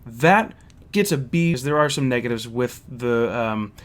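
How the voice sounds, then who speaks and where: A man's voice: mid-range voice, expressive, moderate pace. A man giving a casual, opinionated review and story recap, speaking in a blunt, critical way.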